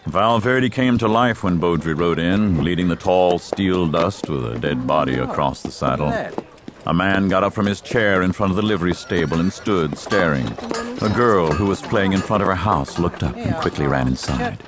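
Very deep male western narrator. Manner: measured storytelling. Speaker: audiobook narrator. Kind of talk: scripted dramatic narration of a western.

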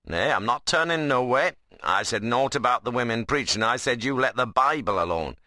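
A stern, principled rustic workman, voiced by a male narrator in a storybook reading. He speaks bluntly and earnestly in a dialect. The delivery is forceful and animated, in a dry recording. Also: medium pitch, moderate pace, no room sound. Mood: stern rebuke. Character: stern, plain-spoken, principled young workman.

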